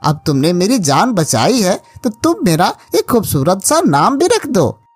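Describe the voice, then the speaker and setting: A woman's voice: medium pitch, sing-song, unhurried, breathy edge, dry recording. A woman voicing a friendly, loyal talking monkey in a cartoon moral story, chatty and full of exclamations. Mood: eager, delighted.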